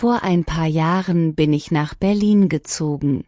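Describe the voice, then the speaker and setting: A woman reading short lesson sentences one at a time, each clearly and deliberately, for learners to repeat. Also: low-pitched, warm tone, no echo, studio quality. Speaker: language lesson reader. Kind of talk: scripted shadowing practice reading.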